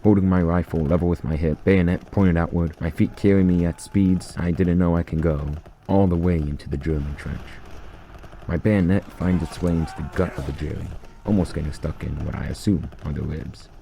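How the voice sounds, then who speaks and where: A man's voice: low-pitched, fairly even intonation, moderate pace, gravelly, mellow, a little hiss or hum. A man reading his own first-person short story as scripted narration.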